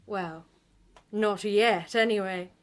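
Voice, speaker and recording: A woman's voice: mid-range voice, steady tone, lightly acted. An actress playing a sly, bewitching, playfully sinister horror-show hostess, coy and arch, hosting a gothic radio play. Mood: teasing, sly. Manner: coy, seductive, arch, drawn-out. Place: festival theatre stage.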